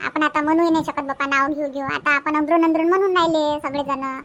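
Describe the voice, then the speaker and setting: Young cartoon housewife played by a woman, extremely high, piercing tone, Vidarbha Marathi accent, accusing and insistent. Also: fairly even intonation, fast, fairly bright tone. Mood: accusing, gossipy. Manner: insistent, gossipy. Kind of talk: cartoon comedy dubbing.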